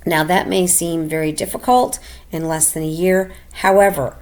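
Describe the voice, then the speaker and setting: A middle-aged woman narrating a personal finance video as a voiceover, slightly raspy from illness, halting with many ums and restarts. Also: lowish voice, fairly even intonation, a little mellow. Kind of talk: casual voiceover narration over a screen recording. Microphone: home voiceover mic.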